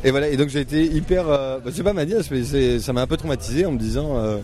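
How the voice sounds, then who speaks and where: Mellow-voiced man hosting a podcast. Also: medium pitch, fast. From Marseille, France. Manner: hesitant, many fillers and restarts, warm laugh. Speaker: podcast host. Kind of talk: casual street interview.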